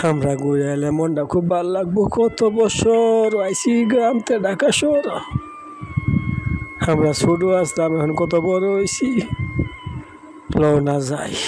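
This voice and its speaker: A man acts out a wistful younger brother in a cartoon drama, with a high voice and a rural Bangladeshi accent. He pleads plaintively and sounds homesick, over clear background music. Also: mellow, poor audio. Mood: pleading.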